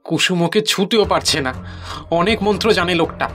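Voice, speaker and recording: A man's voice: high-pitched, moderate pace, a bit bright. A man acting a bitter, pleading villager who speaks emphatically and plaintively in an audio horror story. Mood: bitter, indignant. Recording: music under the voice.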